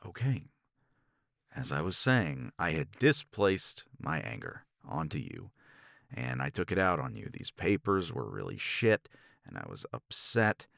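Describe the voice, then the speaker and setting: Casting: male actor as blunt, proud professor for romance audio drama; very low, widely varied pitch, sardonic and halting. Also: huge pitch swings, vocal fry, a bit bright, dry recording, clean studio sound, full character voice. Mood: exasperated, defensive. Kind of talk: romance ASMR roleplay drama.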